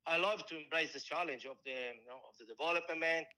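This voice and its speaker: A man in his forties with a strong Italian accent, a football coach speaking in an interview, halting and restarting as he searches for words in English. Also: a little high, bright, forceful tone, poor audio. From Italy. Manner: halting, many restarts and fillers. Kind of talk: casual interview answers.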